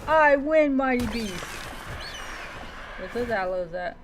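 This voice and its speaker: A woman's voice: a little high, animated, slow, slight background noise. A woman doing live in-character gameplay commentary, talking playfully to creatures with mock-heroic taunts. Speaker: game streamer playing a roleplay survival game.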